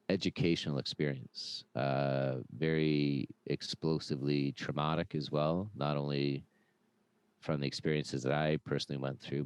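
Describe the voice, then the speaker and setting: A man, a former police officer, telling his story as a podcast guest in a loud, earnest voice full of "uh"s and "um"s. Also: extremely low pitch, smooth, dry recording. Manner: earnest, with frequent "uh" and "um". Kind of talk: conversational personal storytelling.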